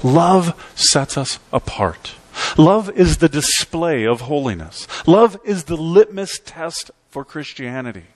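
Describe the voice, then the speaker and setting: A middle-aged man preaching in a church, speaking from a lectern with emphatic, rhythmic delivery that swings between loud peaks and quiet drops. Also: very animated, takes it slowly, hardly any pauses, no echo. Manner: emphatic preaching with sweeping pitch and volume swings. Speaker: pastor. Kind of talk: sermon. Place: church sanctuary.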